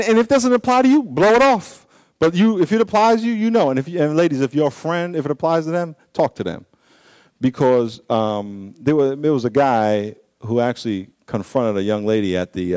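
A man in his fifties, a pastor preaching a blunt, joking sermon to his congregation, with swinging pitch and sudden pace shifts. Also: a little mellow, no room sound. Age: 50s.

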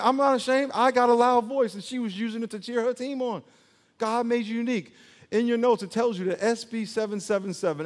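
High-voiced emphatic male preacher. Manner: emphatic preaching with call-and-response. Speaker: pastor. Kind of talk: sermon. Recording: long hall reverb.

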